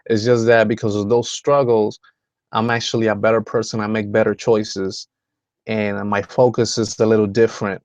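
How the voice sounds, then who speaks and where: A man's voice: fairly low pitch, flat delivery, normal speed, no room sound, highs cut off. A man from the Dominican Republic hosting a motivational video call, talking in a rambling way with many fillers and restarts. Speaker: host.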